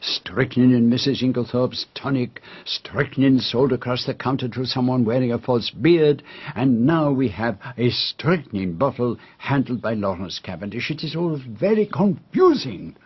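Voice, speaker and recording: Shrewd Belgian sleuth, French-tinged accent, husky, deliberate, theatrical; muffled radio drama sound. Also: male voice, medium pitch, animated. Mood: grave, pensive. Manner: deliberate, theatrical, precise phrasing. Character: shrewd, grave Belgian male detective.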